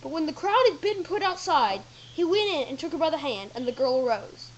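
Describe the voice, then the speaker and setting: A woman's voice: high voice, expressive, a little fast, dull audio, slight background noise. A woman narrating scripted Bible stories for an audio drama.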